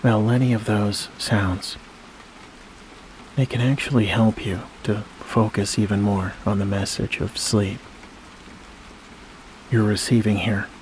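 A man with a lowish voice guides a sleep meditation. His delivery is very even, slow and soothing, with long pauses between short phrases. A clear wash of rain-like noise runs behind his voice. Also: rough recording. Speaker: hypnosis guide.

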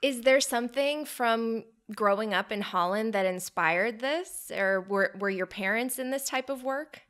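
A woman's voice: a little high, a little slow, fairly bright tone, clear, resonant tone. A woman born in Poland and raised in the United States, hosting a podcast and asking curious, conversational questions. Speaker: podcast host. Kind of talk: interview questions.

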